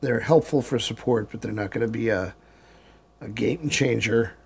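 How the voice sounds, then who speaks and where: A man getting over a cold, hoarse and often clearing his throat, giving casual commentary while streaming a game. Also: mid-range voice, normal speed. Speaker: gaming live streamer.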